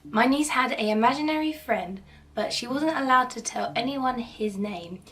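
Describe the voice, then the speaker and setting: Cheerful woman host with a croaky cold voice reading creepy tales, recorded slightly back from the mic. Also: on the high side, animated, normal speed, fairly bright tone. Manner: cheerful, chatty, playfully spooky. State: hoarse from a cold. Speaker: horror storytelling channel host. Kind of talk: casual spooky story narration. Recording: slightly distant mic, faint background noise.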